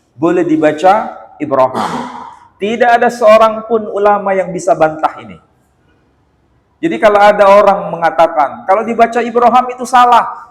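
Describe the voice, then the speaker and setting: An Indonesian man giving a religious lecture in a mosque, animated and teasing, with rhetorical questions. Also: fairly high pitch. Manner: chants Quran verses in a slow melodic recitation. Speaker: Islamic lecturer. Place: mosque hall. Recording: echoey mosque hall.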